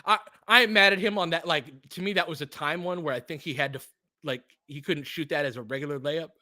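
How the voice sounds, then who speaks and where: A man doing live basketball play-by-play and analysis as a host, informal and openly partisan, excitable on big plays. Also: a little high, fast, no echo, studio quality.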